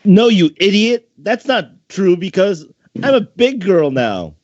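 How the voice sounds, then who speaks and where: A man's voice: sits high, sing-song, a little slow, darkish tone, voice pushed up. A man voicing a bashful, indignant ten-year-old catgirl little sister in a fanfiction reading. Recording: dull, roomy home microphone recording.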